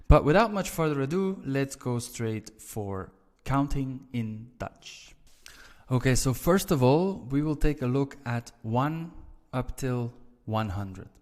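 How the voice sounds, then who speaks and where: A man's voice: medium pitch, darkish tone, long reverb tail. A Belgian man with a Flemish accent giving a patient, step-by-step online language lesson. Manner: slow, patient, repeats and explains step by step. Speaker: language teacher.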